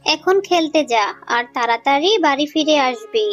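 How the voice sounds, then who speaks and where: A woman voicing a poor, gentle, weary fox mother in a cartoon, earnest and softly chiding. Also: high voice, expressive, moderate pace, highs cut off, soft background music. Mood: tender. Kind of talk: Bengali cartoon voice acting.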